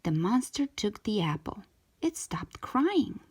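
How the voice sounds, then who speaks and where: Storytime host, female: very lively intonation, slightly husky, unhurried, expressive children's narration. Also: mid-range voice. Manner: expressive, drawn-out emphasis for young listeners. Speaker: storytime host reading a children's book aloud.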